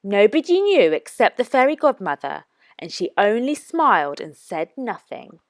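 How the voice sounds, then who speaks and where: A woman reading aloud as a children's storytime narrator, with expressive, careful and deliberate phrasing. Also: medium pitch, dry recording. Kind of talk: scripted storybook read-aloud for young children.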